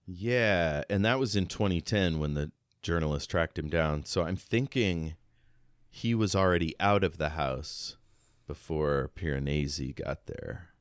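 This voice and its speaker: A man's voice: sits low, measured pace, clean tone, no room sound, studio quality. A man co-hosting a podcast, casually discussing a book in a reflective, slightly hesitant way. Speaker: podcast co-host.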